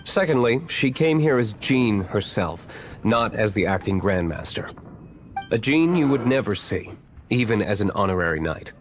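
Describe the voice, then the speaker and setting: A man playing an aloof, cynical young tavern owner in a video game, speaking in a cool, dry, measured way. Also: on the low side, lively intonation, slight background noise, natural voice. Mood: composed. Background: background music.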